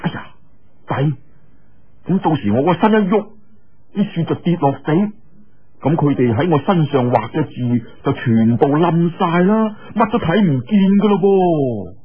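A man's voice: a little high, lively intonation, a little slow, husky, narrow, tinny audio. A man voicing the inner thoughts of a lovelorn, anxious young swordsman in an audiobook, self-mocking and full of sighs and exclamations. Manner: sighing, exclaiming.

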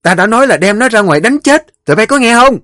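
A man voicing a stern old mistress of a household who barks orders in a shrill, commanding tone. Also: very animated, brisk, no echo, clean studio sound. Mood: furious.

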